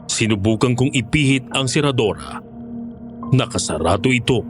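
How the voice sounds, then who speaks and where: A man's voice: mid-range voice, expressive, moderate pace, noisy background, lightly acted. A man narrating a first-person horror story as a former monster hunter recounting his experience. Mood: grave, suspenseful.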